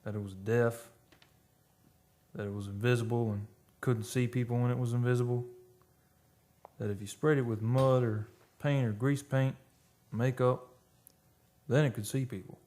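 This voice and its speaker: An actor playing a haunted, obsessed oil driller confessing a horror in a halting, brooding radio monologue. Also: male voice, medium pitch, steady tone, mellow, close to an everyday voice. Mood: anguished, horrified. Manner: halting, brooding, dramatic storytelling.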